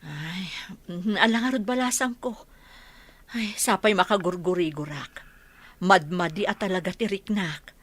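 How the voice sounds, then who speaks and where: A woman with an Ilocano accent playing a sick, gentle middle-aged mother in a radio drama, her voice husky. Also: fairly low pitch, a little slow. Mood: weary.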